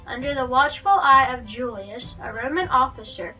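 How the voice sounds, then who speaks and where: A woman's voice: high voice, normal speed, pushed and bright. A woman reading a Bible story aloud for children as a guest narrator. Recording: distant microphone, music underneath.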